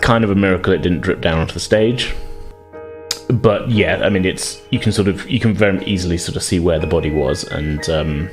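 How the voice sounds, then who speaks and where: A deep-voiced man acts as game master, improvising descriptions for a tabletop game. He talks conversationally, often correcting himself as he goes. Faint guitar music plays behind him. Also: brisk. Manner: hesitant, self-correcting, conversational.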